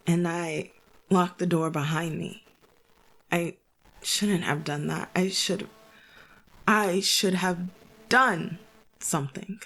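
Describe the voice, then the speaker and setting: An actress playing a bookish, guilt-ridden young woman in an audio drama, halting and hushed as she confesses her doubts. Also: on the low side, poor audio. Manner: halting, reflective, self-doubting.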